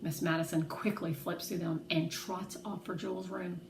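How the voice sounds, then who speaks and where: A woman reading a children's novel aloud in an expressive, animated way, acting out the dialogue. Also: lowish voice, moderate pace, creaky. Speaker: read-aloud narrator. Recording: roomy echo, faint background noise.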